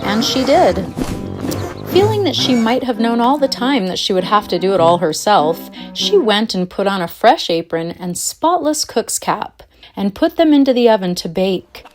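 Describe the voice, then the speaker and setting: Woman narrator for a children's fairytale, mid-pitched and expressive, with music underneath. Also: moderate pace. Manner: expressive storybook delivery. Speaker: storyteller.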